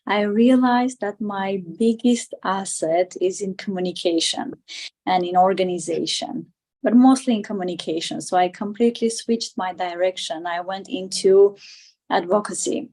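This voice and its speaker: A woman at a middling pitch. She answers as an interview guest in a conversational way. Her delivery is halting, as she repeats and rephrases words while she builds her sentences. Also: a little mellow. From Armenia. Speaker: interview guest, political candidate.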